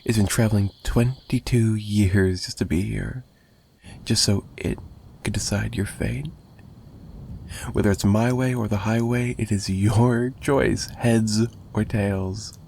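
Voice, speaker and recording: Male actor as menacing mafia kidnapper, lovesick and possessive, lowish pitch, unhurried teasing murmurs, dramatic ASMR roleplay, faint background rumble. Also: fairly even intonation, creaky, a little mellow. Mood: taunting, menacing. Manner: slow, taunting, swinging between murmurs and giggles.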